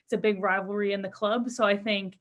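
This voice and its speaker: A woman's voice: mid-range voice, little pitch movement, fairly quick. A young adult woman, a footballer, answering interview questions casually in an upbeat, earnest way. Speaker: professional footballer being interviewed.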